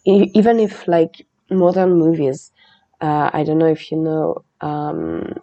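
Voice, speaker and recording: A woman hosting a podcast, chatting in a relaxed, meandering way and reading a legend aloud. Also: low-pitched, unhurried, dull audio. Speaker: podcast host.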